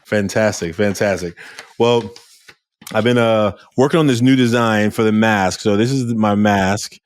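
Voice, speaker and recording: Deep-voiced man hosting a casual interview. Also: a little slow. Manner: animated, restarts often, loud voice. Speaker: podcast host.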